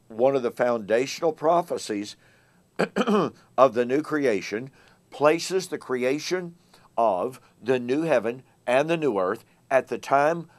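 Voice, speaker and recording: A man hosting a morning Bible teaching show, talking casually with a voice still weak from laryngitis, emphatic and repeating words with frequent fillers. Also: mid-range voice. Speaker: host.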